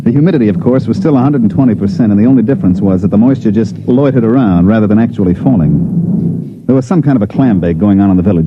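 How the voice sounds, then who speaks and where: A man's voice: lowish voice, noisy background, natural voice. An American actor playing a dry, wisecracking special agent who narrates his case in a 1950s radio science fiction drama. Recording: dull vintage radio recording, music behind.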